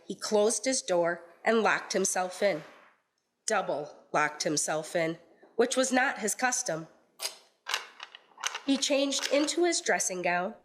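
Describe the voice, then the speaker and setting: A woman narrating a radio play in a measured, deliberate storytelling style. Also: medium pitch, slight background noise.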